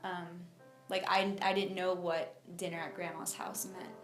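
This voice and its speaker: A young adult woman from Miami telling her personal story in an interview, speaking informally and haltingly with lots of filler phrases. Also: on the low side, level tone, reverberant, over music. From United States (Miami, Florida). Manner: informal, halting, frequent "like" and "you know".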